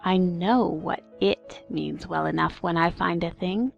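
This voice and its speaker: Woman playing a creaky, blunt storybook duck. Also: on the low side, measured pace, dull audio, faint music behind, heavily acted. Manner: blunt, literal-minded. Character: blunt, practical duck interrupting with questions. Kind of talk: dramatized storybook audiobook acting.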